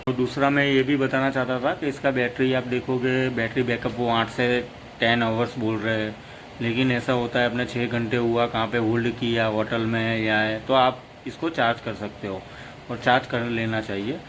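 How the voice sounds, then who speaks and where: Man, casual product demo, flat delivery, rambling, faint ambience behind. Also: medium pitch, normal speed, noisy background. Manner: rambling, repeats "plus" between points. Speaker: motorcycle vlogger.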